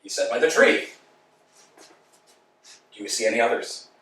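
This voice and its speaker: A man's voice: medium pitch, sing-song, normal speed, a bit bright. A man playing a fussy, insistent male tramp in a play reading, recorded from far off in an echoey room. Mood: fretful. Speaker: actor.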